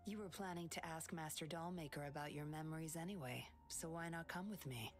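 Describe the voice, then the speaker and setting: Woman doing a cool teasing femme fatale from a game, really low voice, bossy, faint music under it. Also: a little fast, natural voice. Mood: cool, commanding. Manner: sly, composed, condescending.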